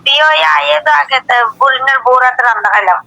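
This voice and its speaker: A woman with a high, bright voice chats casually over a phone video call. She is talkative and lays out her plans in long runs of speech. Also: very fast, creaky. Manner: chatty, explains plans at length. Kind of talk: casual chat.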